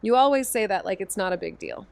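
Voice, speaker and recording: A woman's voice: mid-range voice, expressive, fast, a little mellow, close to an everyday voice. An actress playing a wry, anxious young woman investigator who talks bluntly and impatiently. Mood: restless, wry. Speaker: investigator and narrator. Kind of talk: audio drama acting.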